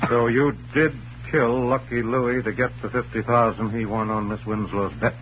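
A man's voice: medium pitch, level tone, a little hiss or hum, close to an everyday voice. An actor playing a cool-headed, wry newspaperman hero with composed delivery in a 1940s radio crime drama. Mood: calm challenge. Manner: composed, dry, measured. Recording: muffled, humming old radio recording.

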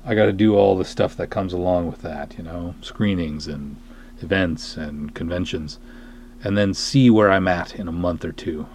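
Low-voiced man rambling on a podcast. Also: moderate pace, a little mellow, a little hiss or hum. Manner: rambling, self-deprecating. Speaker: podcast host, film writer and director. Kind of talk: casual podcast monologue.

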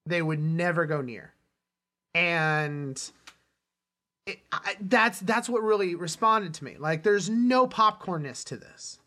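A man hosting a casual film discussion, halting and often interrupting himself as he talks. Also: sits high, expressive, sharp tone. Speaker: podcast host.

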